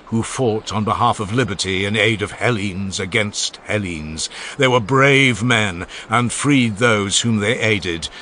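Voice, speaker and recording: A man's voice: lowish voice, sharp tone, slight background noise. A man reading an ancient funeral oration aloud as an audiobook narrator, with solemn, declamatory delivery and strong swings in emphasis.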